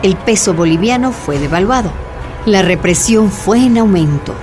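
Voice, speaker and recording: Radio drama narrator, female actress, mid-pitched, serious documentary delivery, music bed behind. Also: animated, normal speed, natural voice. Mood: serious, grave.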